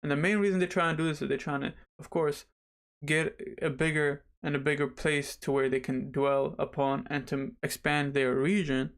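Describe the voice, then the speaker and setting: A young man hosting a casual livestream, slightly raspy after dental surgery, chatty and rambling as he talks straight to viewers. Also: fairly high pitch, fairly even intonation, normal speed. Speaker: livestream host.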